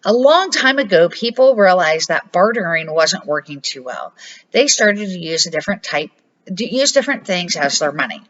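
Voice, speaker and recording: A woman's voice: lowish voice, big pitch swings, moderate pace, a bit bright, dull audio. An older woman, a grandmother, reading a children's storybook aloud.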